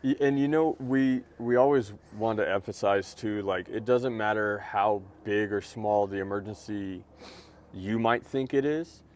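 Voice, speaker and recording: A man, a fire official being interviewed, explaining things in a measured, plain-spoken way. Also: fairly low pitch. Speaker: fire marshal's office lieutenant, interview guest. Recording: noticeable steady background noise.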